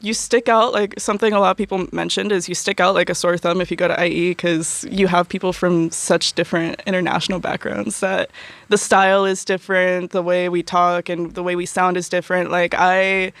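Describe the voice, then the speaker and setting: A woman's voice: on the low side, little pitch movement, brisk, gravelly, dry recording. A young woman, a university student, chatting casually as an interview guest, full of fillers and easy laughter. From United States.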